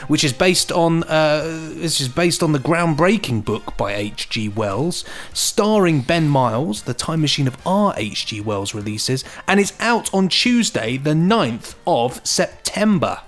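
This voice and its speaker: A man co-hosting a podcast in casual chat, jokey and excitable, often searching for his words. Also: on the high side, unhurried, few pauses, soft background music. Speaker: podcast co-host.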